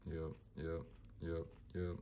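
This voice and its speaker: A man with a monotone voice casually talking through his card collection, mumbling names as he flips through binders. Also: a bass voice, slow pace, a little hiss or hum. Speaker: collector vlogger.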